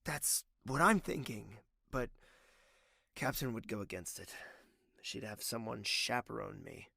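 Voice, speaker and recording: A man's voice: on the low side, expressive, measured pace, creaky, bright, forceful tone, breathy edge, no room sound, studio quality, heavily acted. A man playing a tender, secretive young prince with a formal, hesitant way of speaking in a romantic audio drama. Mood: wistful. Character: soft-spoken young prince in love, secretive and wistful. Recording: music under the voice.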